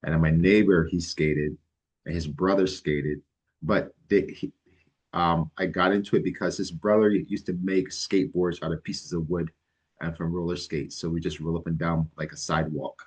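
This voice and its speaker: Middle-aged man, extremely low voice, relaxed and hesitant, lots of ums. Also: husky. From Barbados. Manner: halting, many ums and restarts, relaxed. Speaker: guest, licensed mental health counselor. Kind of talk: casual interview answers.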